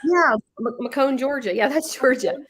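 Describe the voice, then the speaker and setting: A woman's voice: sits high, moderate pace, fairly bright tone. Woman host with a head cold, congested, rambling, laughs a lot. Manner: chatty, rambling, laughs often. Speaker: live stream host. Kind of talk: casual live stream chat, reading viewer comments.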